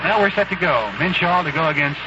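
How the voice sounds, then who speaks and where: American guy chatting baseball on old radio, voice a bit high, tons of noise. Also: fairly quick. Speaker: baseball broadcast commentator. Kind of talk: 1970s radio baseball commentary, conversational analysis. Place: ballpark broadcast booth. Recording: noisy muffled 1970s AM radio broadcast.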